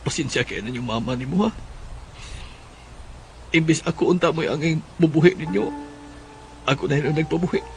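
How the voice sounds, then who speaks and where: A man's voice: on the high side, brisk, pauses often, faint music behind, close to an everyday voice. A man playing a tender, sorrowful, regretful father with a Cebuano Bisaya accent in a radio drama. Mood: regretful, sad.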